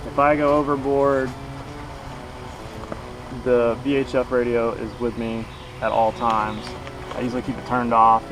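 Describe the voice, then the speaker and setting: A man's voice: medium pitch, low-quality recording. Casual man reviewing gear outdoors. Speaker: kayak fishing vlogger, product reviewer. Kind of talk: casual unscripted product review to camera. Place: outdoors in a grassy backyard beside a shed. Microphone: camera a couple of metres away.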